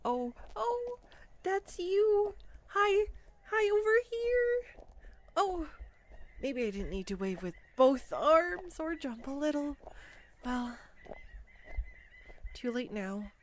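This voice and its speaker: A woman's voice: sits high, big pitch swings, takes it slowly, long pauses, heavily acted. A woman playing a clumsy, nervous young woman on a first date in an audio roleplay, rambling and self-deprecating. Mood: excited, flustered. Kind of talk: intimate audio roleplay monologue.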